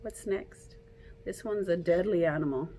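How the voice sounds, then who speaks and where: A woman reading a picture book aloud to children like a teacher, in an animated, playful way, coaxing young listeners to join in. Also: lowish voice, measured pace, a little hiss or hum.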